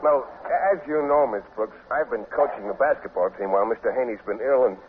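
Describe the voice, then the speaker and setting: An actor playing a bashful, earnest biology teacher who speaks in hesitant, wordy phrases in a 1950s radio comedy. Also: male voice, medium pitch, fairly quick, lightly acted. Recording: tinny old radio broadcast, faint noise.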